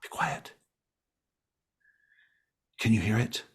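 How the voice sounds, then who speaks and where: A man's voice: slow, fairly bright tone. A man voicing a distracted, starry-eyed hippie woman, a rapt religious devotee, in a tabletop roleplaying game. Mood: hushed rapture.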